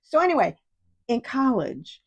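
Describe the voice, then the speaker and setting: A woman's voice: on the high side, big pitch swings, measured pace, gravelly, slightly rough. Rambling, salty woman in her fifties. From New York State. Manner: rambling, digressive, profane, self-mocking. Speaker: podcast host. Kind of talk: casual solo podcast monologue.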